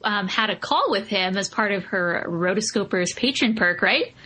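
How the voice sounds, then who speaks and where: A woman's voice: medium pitch, lively intonation, moderate pace, bright, forceful tone, low-quality recording. A woman chatting casually as a podcast co-host. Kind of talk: casual chat.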